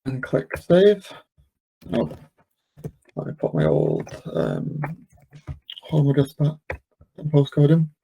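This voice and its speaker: Mellow-voiced man giving a software walkthrough. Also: fairly high pitch, wildly swooping pitch, slow pace, gravelly, long pauses, husky, poor audio. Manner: casual, step-by-step explaining. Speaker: webinar host and software demonstrator.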